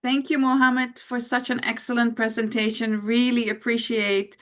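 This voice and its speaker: Female webinar moderator, polite and formal, fairly high voice, flat intonation. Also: moderate pace. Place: online webinar call.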